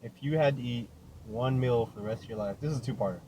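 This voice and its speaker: A man's voice: mid-range voice, fast, dark tone, poor audio. A man casually interviewing people, informal, often repeating and rephrasing his questions, recorded in a car. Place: inside a moving car. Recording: clear vehicle noise.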